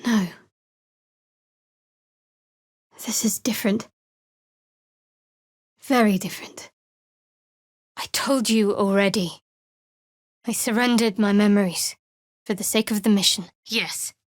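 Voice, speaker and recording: A woman playing a guarded, sardonic young cleric in a fantasy video game, speaking in a measured, dry, wry way. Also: medium pitch, fairly even intonation, no echo, lightly acted. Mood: cool, wry, then guarded, earnest.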